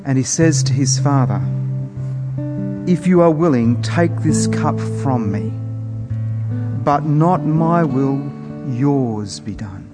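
A man preaching a sermon in a measured, earnest manner with weighty pauses. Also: medium pitch, lively intonation. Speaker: preacher introducing scripture. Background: soft plucked guitar music.

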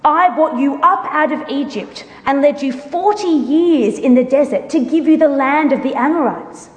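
A woman's voice: sits high, normal speed, highs cut off. A woman giving a solemn, declamatory dramatic reading of scripture as a church member. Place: large reverberant room. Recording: echoey hall with long reverb.

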